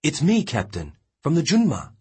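A man's voice: on the high side, wildly swooping pitch, normal speed, a little mellow, husky, voice pushed up, full character voice. A man voicing a distraught young sailor in an audiobook reading. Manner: pleading, distraught. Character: young sailor, grieving and desperate.

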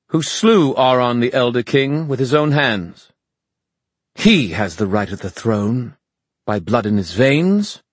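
A male narrator acts as a defiant fairy woman in a fantasy audiobook. The delivery is formal and declamatory, lifted well above his plain pitch, proud and firm. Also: no echo.

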